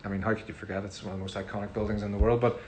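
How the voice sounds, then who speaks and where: Chatty Northern Irish man, low voice. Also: rapid-fire, a little hiss or hum. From Northern Ireland. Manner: relaxed, chatty, frequent ums. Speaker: musician answering fan questions. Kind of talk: casual livestream Q&A.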